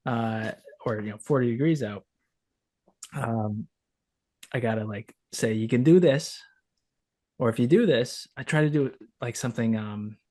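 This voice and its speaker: A man of average pitch talks as a podcast co-host in a very dry recording. He is informal and conversational, feeling his way through thoughts in a halting manner. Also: darkish tone, no room sound. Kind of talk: casual podcast chat.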